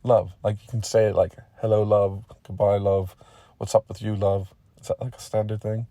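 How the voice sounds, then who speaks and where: Casual rambling young dude, read by a man, curious, lowish, dry sound, comic storytelling. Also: little pitch movement, moderate pace, warm tone, no room sound, close to an everyday voice. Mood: puzzled.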